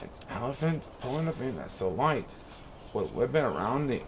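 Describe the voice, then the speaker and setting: A man reading a rhyming children's storybook aloud in a theatrical, expressive way. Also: mid-range voice, moderate pace, a little hiss or hum. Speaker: storybook reader.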